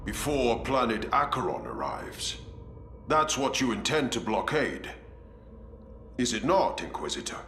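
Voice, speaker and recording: A commanding, resolute space marine leader from a video game. His delivery is grave and formal but lively in pitch, with a sharp, forceful tone and an echo. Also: unhurried, slight background noise. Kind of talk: video game cutscene acting.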